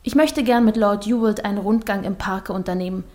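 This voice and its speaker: A woman's voice: medium pitch, fairly even intonation, brisk, darkish tone, close to an everyday voice. A woman playing a vain, demanding young lady in a German audio drama, with a formal, pert manner. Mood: pert, insistent.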